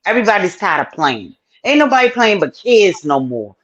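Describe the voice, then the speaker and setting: A woman's voice: medium pitch, sing-song, moderate pace, a crowd in the background. A woman in her fifties, a host, talking bluntly and playfully in a group chat. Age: 50s. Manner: blunt, playful, laughs often. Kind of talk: casual group chat on a talk show.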